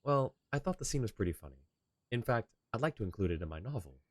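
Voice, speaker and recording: A man's voice: fairly low pitch, sing-song, fast, dry recording. A man voicing a polite, talkative young swordsman from a game, with a courtly and lightly playful manner. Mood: wry. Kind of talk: reading game dialogue in character. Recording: game music behind.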